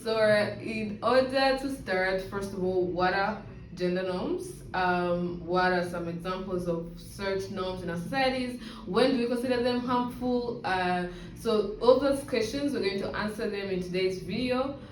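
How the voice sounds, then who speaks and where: A woman's voice: medium pitch, unhurried, slight background noise. Brief: young female student host, friendly and casual, a bit distant in a roomy space. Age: young adult. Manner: friendly, with frequent fillers. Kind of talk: casual video introduction.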